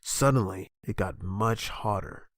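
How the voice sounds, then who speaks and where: A man reading a children's story aloud as narrator in an animated, expressive way. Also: on the low side, measured pace, no echo, clean studio sound.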